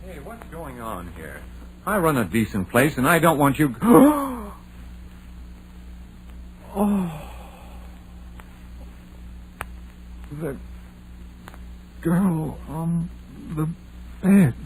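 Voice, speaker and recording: Folksy old innkeeper guy, slow and halting, voice swings up and down, old radio. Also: a little high, exaggerated voice. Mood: horrified. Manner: rustic, halting, awestruck. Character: folksy, uneasy older male innkeeper. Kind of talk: 1940s radio horror acting. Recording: noisy old radio drama recording.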